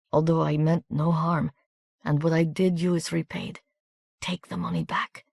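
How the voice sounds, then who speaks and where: A reader voicing a wounded, desperate young soldier who speaks in a weak, breathy murmur with a soft foreign accent. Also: female voice, deep voice, dry recording, studio quality, exaggerated voice.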